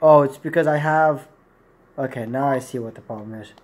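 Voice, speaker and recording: A man's voice: mid-range voice, moderate pace, a little mellow. A man in his twenties giving a casual, unscripted product review to camera in a small room, rambling and correcting himself as he goes. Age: 20s. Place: small plain room indoors.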